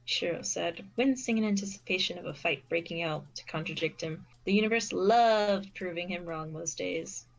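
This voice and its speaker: Woman, fairly low voice, story narration, scripted read, occasional re-read phrase. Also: moderate pace, vocal fry, few pauses, rough recording. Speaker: fan fiction reader and narrator.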